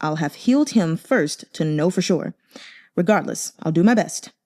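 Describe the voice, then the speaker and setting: A woman's voice: on the low side, expressive, normal speed, a little mellow. A woman voicing a cold, condescending, money-loving male master physician in an audiobook story, curt and unruffled. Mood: brusque.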